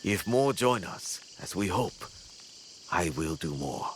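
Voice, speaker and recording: Modest loyal helper in a game, deep slow voice, earnest, faint crickets around. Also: male voice, expressive, a little hiss or hum, exaggerated voice. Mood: humble, earnest. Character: humble, steady male helper devoted to a cause. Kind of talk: video game acting.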